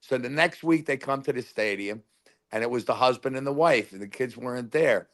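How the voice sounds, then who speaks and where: A man phones in to a football talk show with a loud voice. He rambles happily through old stadium stories and his game picks. His pitch sits in the middle for a man. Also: fairly quick. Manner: rambling, animated storyteller. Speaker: caller. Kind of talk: casual phone-in chat.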